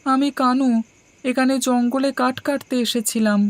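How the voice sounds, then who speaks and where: A woman's voice: sits high, fairly even intonation, unhurried, no room sound. A woman voicing a humble, honest, hardworking young boy, the youngest brother in a cartoon folktale. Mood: humble, earnest. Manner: meek, earnest, polite.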